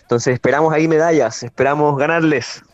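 A man's voice: fairly high pitch, fairly even intonation, fairly quick, no echo, muffled recording. A man in his thirties with a Chilean accent, answering conversationally as a guest in a radio interview. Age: 30s. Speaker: interview guest, Paralympic athlete.

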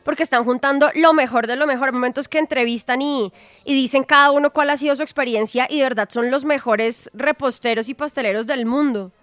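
Bright enthusiastic woman host. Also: sits high, fairly quick, no room sound. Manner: enthusiastic, effusive. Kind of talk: radio interview.

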